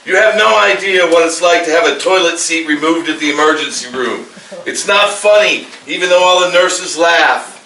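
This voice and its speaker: Heard off-mic in an echoey room, a man acts out a cantankerous old retiree with a sharp, forceful voice. He is curt and fed up, in the manner of a live comedy table read. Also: fairly high pitch, moderate pace. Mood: indignant. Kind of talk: staged screenplay table read, comedic acting. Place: live staged reading at a party. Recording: echoey room, distant mic, faint noise.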